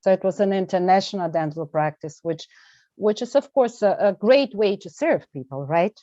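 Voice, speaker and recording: Bulgarian-accented woman, earnest and deliberate. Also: medium pitch, animated, dark tone, no room sound, highs cut off. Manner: earnest, deliberate, with emphatic pauses. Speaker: guest, well-being coach. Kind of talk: interview conversation.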